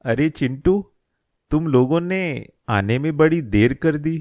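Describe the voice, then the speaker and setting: A man's voice: fairly high pitch, expressive, takes it slowly, darkish tone. A man voicing an elderly grandfather in a cartoon moral story, a plain-spoken shopkeeper who grumbles about his son. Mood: welcoming.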